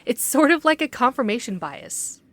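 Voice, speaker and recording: A woman's voice: on the high side, sing-song, normal speed, fairly bright tone, dry recording. A woman playing a skeptical, by-the-book investigator in an audio drama, speaking precisely and argumentatively. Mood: explaining. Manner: precise, argumentative, matter-of-fact. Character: skeptical, bookish young female paranormal investigator, stubborn and by-the-book.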